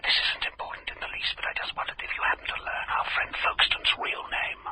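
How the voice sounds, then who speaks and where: A man playing a curt, hushed client. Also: fast, in a whisper, close to an everyday voice. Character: curt, secretive male client. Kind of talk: radio drama acting.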